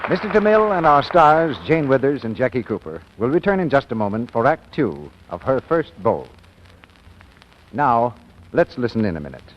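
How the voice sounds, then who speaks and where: Animated American male 1940s radio announcer. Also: medium pitch, normal speed. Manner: polished, emphatic. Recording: muffled, noisy old radio recording.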